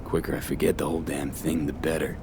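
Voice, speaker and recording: A man's voice: extremely low pitch, level tone, quick pace, background noise. A man playing a world-weary young mercenary in a video game, terse, wry and understated in a naturalistic style. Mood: reflective.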